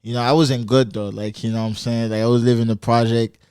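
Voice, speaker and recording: A man's voice: mid-range voice, little pitch movement, fast, darkish tone, clean tone, no echo, clean studio sound. A man from France, a guest on a podcast, talking in a laid-back casual way with lots of slang fillers. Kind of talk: casual chat.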